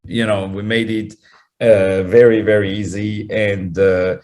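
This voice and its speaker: A man from France with a French accent, talking as a guest on a radio interview, effusive and full of quick restarts. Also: fairly low pitch, level tone, a little mellow.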